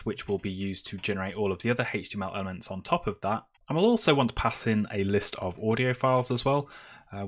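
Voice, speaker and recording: A man presenting a screencast tutorial, explaining step by step in a semi-improvised way as he works. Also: on the low side. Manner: step-by-step, explaining while typing.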